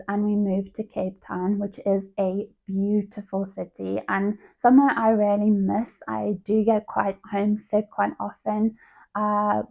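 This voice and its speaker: A chatty woman in her thirties talks to her viewers in a relaxed, conversational way. Her voice has a gravelly, creaky edge and sits at an ordinary middle pitch. The sound is thin and tinny, like a phone line. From South Africa. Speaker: book vlogger. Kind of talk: casual chat to camera.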